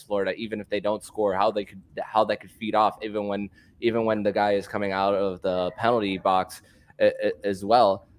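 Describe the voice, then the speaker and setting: A man with a lowish voice hosts a sports podcast. He asks his questions in a halting, casual way and often restarts with fillers. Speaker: podcast host.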